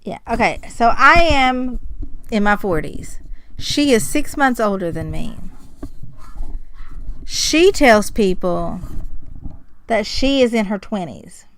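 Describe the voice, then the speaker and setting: A woman in her forties co-hosting a podcast, chatting casually in an unhurried, agreeable way. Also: medium pitch, animated, fairly bright tone, low-quality recording. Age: 40s. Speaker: podcast co-host. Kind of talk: casual chat.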